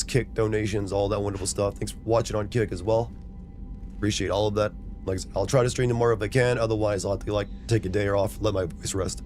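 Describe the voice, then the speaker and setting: A man streaming a video game, chatting casually to his viewers with a hoarse voice. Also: on the low side. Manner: relaxed, rambling.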